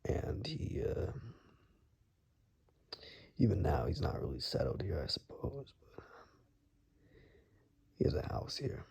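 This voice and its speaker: Very deep, breathy forest rescuer played by a man. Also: big pitch swings, slow, long pauses, heavily acted. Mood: calm, reflective. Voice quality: soft, breathy, husky, often whispered. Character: gruff, protective forest-dwelling man, cautious and frank rescuer. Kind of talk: ASMR roleplay.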